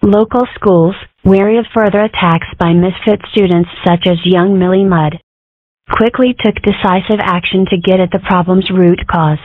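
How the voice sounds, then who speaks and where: Woman as stilted, wry comic-strip fox, medium pitch, narrow phone-like recording. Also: steady tone, moderate pace, no echo, close to an everyday voice. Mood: newsy. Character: wry young fox girl and her fox mother. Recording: muffled, narrow phone-like sound, close and dry.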